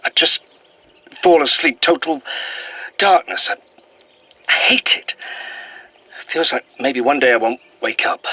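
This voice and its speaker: An actor playing a troubled, self-deprecating man in a halting, confessional audio-drama recording, sighing and swearing. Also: lowish voice, bright, forceful tone, husky, no echo, heavily acted. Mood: vulnerable, fearful.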